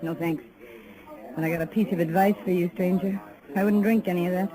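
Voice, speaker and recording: A woman's voice: fairly low pitch, fairly even intonation, brisk, natural voice, rough recording. Tough, sardonic saloon woman played by an actress. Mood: cool, guarded. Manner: blunt, sardonic. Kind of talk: 1950s radio western acting. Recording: old radio recording with crowd din.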